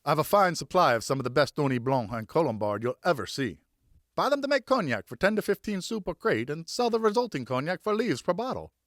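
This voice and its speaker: A man's voice: on the high side, sing-song, fast talker, a bit bright, no room sound, clean studio sound, voice pushed up. A man voicing a persuasive, coaxing vineyard owner in an audiobook, talking like a salesman. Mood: confident pitch. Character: persuasive male vineyard owner with gimpy legs. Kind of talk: audiobook character dialogue.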